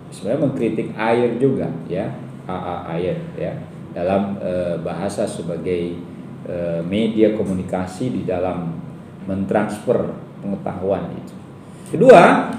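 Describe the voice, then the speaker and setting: A man with a Sumatran Indonesian accent giving a conversational classroom lecture, putting rhetorical questions to his students. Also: fairly low pitch. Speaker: university lecturer. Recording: roomy echo, distant mic, faint background noise.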